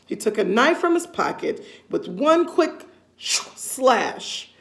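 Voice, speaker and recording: A woman's voice: fairly high pitch, sing-song, slow, creaky. A woman reading a children's story aloud expressively, stressing key words and adding casual asides.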